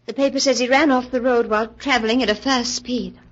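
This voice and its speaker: A woman acts a grave, worried young woman in a 1940s radio play. Her voice is slightly high and husky, delivered solemnly. The old recording is dull and boxy. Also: fairly even intonation, fairly quick, close to an everyday voice. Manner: solemn, dramatic.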